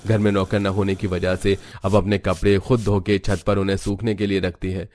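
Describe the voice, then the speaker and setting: A man's voice: low voice, little pitch movement, normal speed, a little mellow, no room sound. A man reading scripted narration as the storyteller of a cartoon family tale.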